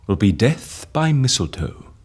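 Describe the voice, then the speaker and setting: An actor playing a vain, pompous, excitable schemer in an audio comedy, with a dramatic manner. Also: male voice, mid-range voice, a little slow, slightly rough. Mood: grand relish. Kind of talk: audio comedy fiction acting.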